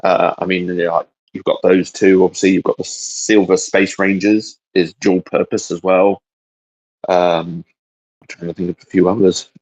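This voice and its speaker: A man's voice: low voice, level tone, normal speed, darkish tone, rough recording. A man co-hosting a casual, unscripted chat about geeky fan topics. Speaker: co-host of a fan discussion show.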